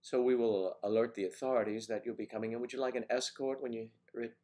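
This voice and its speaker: An older man with a congested, deep voice, chatting casually and teasingly on his morning livestream, greeting viewers by name. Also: steady tone, fast talker, dark tone. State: congested with a cold. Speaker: livestream host.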